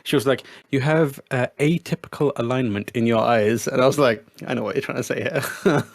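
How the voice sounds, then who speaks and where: A man's voice: medium pitch, a little fast, gravelly, no room sound. A man chatting casually as a podcast panelist, with a wry, joking manner. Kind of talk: casual chat.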